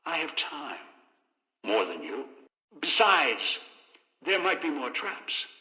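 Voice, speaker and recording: Echoing, harsh-edged paranoid old villain. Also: male voice, on the high side, big pitch swings, sharp tone, heavily acted. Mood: guarded, suspicious. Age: older. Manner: deliberate, halting, contemptuous delivery. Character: cold, paranoid, scheming old man, menacing and arrogant. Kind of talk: dramatic video game voice acting.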